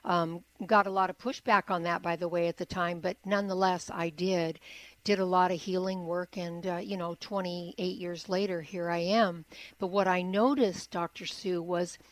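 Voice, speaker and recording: A woman hosts a radio talk show from a dry, close studio mic. Her voice sits a bit low for a woman. She asks long, rambling questions and keeps up soft murmurs of agreement. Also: moderate pace, dry recording, poor audio. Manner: steady stream of soft backchannel murmurs, rambling personal questions. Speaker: radio host. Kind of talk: radio interview.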